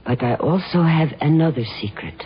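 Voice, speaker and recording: An actress playing a frightened, secretive woman reading a letter in a radio drama, with a husky voice. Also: sits low, animated, normal speed, full character voice. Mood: fearful confession. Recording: dull old radio broadcast.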